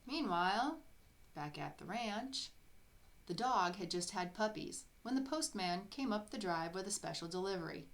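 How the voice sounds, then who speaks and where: Woman reading a kids story out loud, expressive, medium pitched voice. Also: normal speed. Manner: expressive, acts out characters' dialogue. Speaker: children's story reader. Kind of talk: storybook read-aloud.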